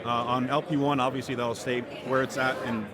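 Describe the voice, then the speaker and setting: A man speaks quickly at a middle pitch, like a business owner casually explaining his product line. He uses informal sales jargon, with heavy convention crowd noise around him. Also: level tone. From Turkey or of Turkish family. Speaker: optics company owner at a trade show booth. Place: trade show floor. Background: loud crowd chatter.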